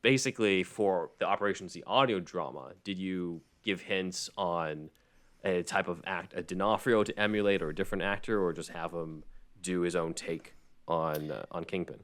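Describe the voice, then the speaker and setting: A man's voice: low-pitched, moderate pace, fairly bright tone. A man hosting a podcast in a casual, rambling, conversational way. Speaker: podcast host. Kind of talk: casual podcast discussion of a script.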